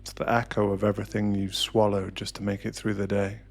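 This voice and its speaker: Male meditation guide, lowish pitch, hushed and deliberate dramatic delivery, intimate ASMR narration with faint music underneath. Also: little pitch movement, vocal fry.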